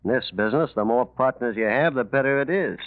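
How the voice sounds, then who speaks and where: A man's voice: medium pitch, quick pace, close to an everyday voice. An actor playing a sly, cocky male racketeer with glib, slangy, street-tough talk in a 1940s radio mystery. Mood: smooth, coaxing. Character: slick small-time crook, a sly, cocky male racketeer. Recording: muffled lo-fi 1940s radio recording.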